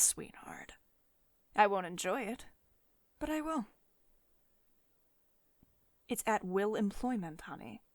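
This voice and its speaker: A close, dry recording of a powerful female CEO, acted by a woman in an ASMR roleplay. She is cool, possessive and teasing, with a mid-pitched voice. Her delivery is measured and condescending, coaxing the listener as someone used to getting her way. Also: darkish tone, dry recording. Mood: teasing, threatening. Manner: measured, condescending pet names, drops into breathy whispers. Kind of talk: ASMR roleplay, intimate monologue to one listener.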